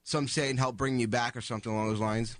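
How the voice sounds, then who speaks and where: Quick-talking male co-host, dry sound. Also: mid-range voice, steady tone, no echo. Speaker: radio show co-host. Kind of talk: casual interview questioning.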